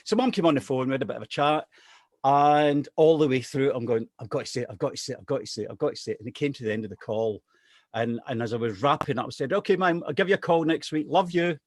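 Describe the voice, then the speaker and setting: A middle-aged man from Scotland tells a story in a chatty, self-deprecating way. His voice sits at an ordinary pitch and sounds close and dry, with no room around it. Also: fast, dry recording. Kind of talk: casual anecdote telling.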